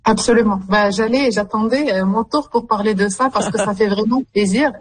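A young woman, speaking as a journalist guest in an interview, with many pauses and fillers. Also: medium pitch, husky. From Morocco. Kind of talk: radio interview.

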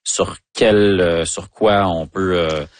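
A deep-voiced man with a Quebec French accent conducts a conversational interview. His questions come out tentatively as he searches for the phrasing, and the sound is dry and close. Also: steady tone, slow pace, no echo.

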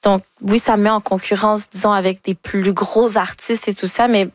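A young woman with a Québécois French accent, a singer answering interview questions in a relaxed way and dropping English words into her French. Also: medium pitch, normal speed. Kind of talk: casual interview conversation.

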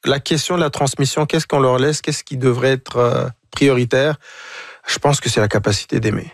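A man, a guest on a talk show, speaking reflectively and haltingly, often repeating words as he searches for them. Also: mid-range voice, fairly even intonation, no echo, studio quality. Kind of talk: reflective conversation.

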